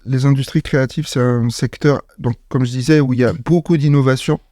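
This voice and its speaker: A man's voice: mid-range voice, fairly even intonation, brisk, mellow, dry recording. Hesitant man talking startup business. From Morocco. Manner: hesitant, many fillers and restarts. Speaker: startup founder. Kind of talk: casual interview talk.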